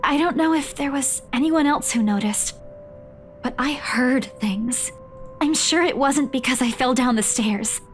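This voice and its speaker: Teenage game girl, high voice, earnest, anxious, hesitant, caring, faint background music. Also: a little slow. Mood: uneasy, insistent. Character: anxious, caring teenage girl calling after her friend. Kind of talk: visual novel voice acting.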